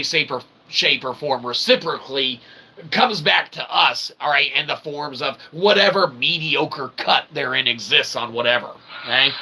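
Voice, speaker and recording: Gravelly, forceful man on a rant. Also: a little high, poor audio. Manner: emphatic, ranting, peppered with "okay?" and "right?"; casually profane. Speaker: independent author and channel host. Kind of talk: opinionated rambling monologue.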